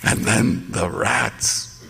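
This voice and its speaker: An actor playing a haunted lighthouse keeper who tells his ordeal in a radio play, with gravelly dramatic storytelling that drops to hushed tones. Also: on the low side, extremely slow, long reverb tail, exaggerated voice. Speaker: narrator and lead actor. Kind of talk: live radio theatre.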